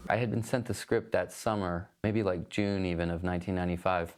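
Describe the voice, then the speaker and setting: A man in a retrospective interview, telling in a relaxed, conversational way how he came to take a film role. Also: sits low, a little fast. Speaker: actor recalling a film role.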